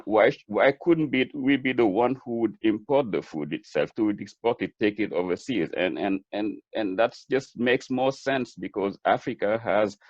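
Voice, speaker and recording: Male webinar guest, middle-aged, Senegalese accent, lowish pitch, earnest and reflective, dry close sound. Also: normal speed, hardly any pauses, no room sound, dull audio. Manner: earnest, reflective, repeats words as he builds points. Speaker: webinar guest, chef and food entrepreneur. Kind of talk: conversational interview answer.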